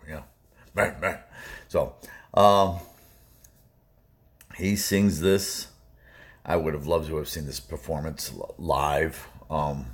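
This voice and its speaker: A man with a low baritone voice, hosting a music reaction video and talking casually in a rambling, emphatic way. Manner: rambling and emphatic, many fillers.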